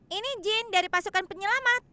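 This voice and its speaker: A woman voicing a composed young female rescue team dispatcher in a dubbed cartoon, giving clear, commanding instructions. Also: very high voice, fast talker, noisy background.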